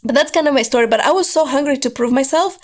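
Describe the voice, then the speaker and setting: A woman, telling her life story as a podcast guest, hoarse from losing her voice and talking in an earnest, driven way. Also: sits high, fast talker. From Moldova. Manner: earnest, driven storytelling, repeats words for emphasis.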